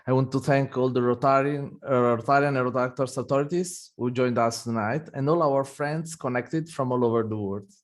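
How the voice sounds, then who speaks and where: A man's voice: mid-range voice, fairly even intonation, normal speed. Man hosting an online meeting. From Italy. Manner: reads prepared remarks, occasional restarts. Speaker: event host. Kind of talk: formal welcome speech.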